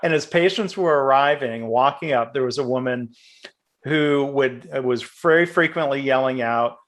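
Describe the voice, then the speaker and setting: A man's voice: medium pitch, normal speed. A man hosting a webinar, chatting casually and passing on audience questions. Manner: relaxed, brief backchannels, reads audience questions. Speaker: webinar host. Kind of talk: webinar interview.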